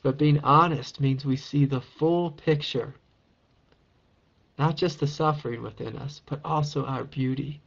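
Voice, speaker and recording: A man with a raspy voice, a meditation teacher answering a question in a calm, encouraging spiritual talk. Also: medium pitch, unhurried, highs cut off. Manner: gentle, calm and encouraging, with soft laughs.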